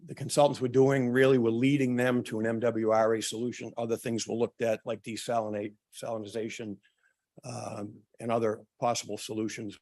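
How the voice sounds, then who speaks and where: A man addresses a forum with a voice that is starting to go hoarse, and he now and then clears his throat. His talk is halting and full of uhs and ums. His pitch sits in the middle range for a man. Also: a little mellow. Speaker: town official presenting at a public forum. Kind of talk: prepared remarks at a public meeting.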